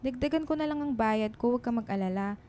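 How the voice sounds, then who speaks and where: An actress playing a kind, considerate Filipino household employer in a radio drama, friendly and caring in manner. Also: female voice, on the high side, fast talker, dark tone, close to an everyday voice.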